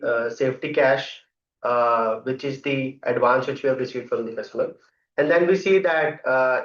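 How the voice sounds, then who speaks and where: A man speaking as a finance executive, explaining company policy in an unscripted answer on an earnings call. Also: mid-range voice. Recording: echoey, muffled conference call audio.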